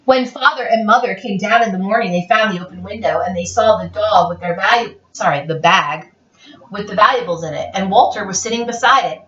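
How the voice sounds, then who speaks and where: A woman reading a children's picture book aloud in a theatrical, expressive, playful way. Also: mid-range voice, a little fast. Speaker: read-aloud storyteller. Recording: roomy, dull lo-fi, distant mic.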